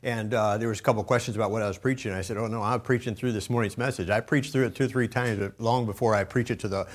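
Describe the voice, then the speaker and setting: A man preaching a sermon to his church as pastor, folksy and storytelling, his voice a little gravelly. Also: fairly low pitch, fairly even intonation, fast talker. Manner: folksy storytelling, loud bursts when quoting his own preaching. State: slightly gravelly voice.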